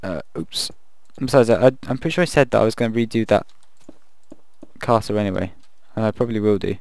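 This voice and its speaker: Croaky young man musing over a game. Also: lowish voice, quick pace, a little mellow. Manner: rambling, thinking aloud, self-deprecating. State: croaky voice late at night. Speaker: gaming video creator. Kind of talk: casual commentary over a building game.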